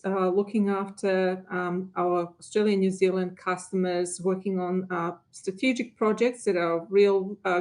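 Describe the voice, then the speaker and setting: Measured woman tech guest. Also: mid-range voice, darkish tone. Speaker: chief technologist guest. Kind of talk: interview answers.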